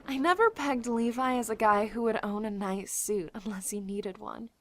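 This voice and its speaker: A woman playing a polite, mild, curious woman in her twenties in a fan audio drama, speaking in a measured way. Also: medium pitch, close to an everyday voice. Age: 20s. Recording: clearly noisy background ambience.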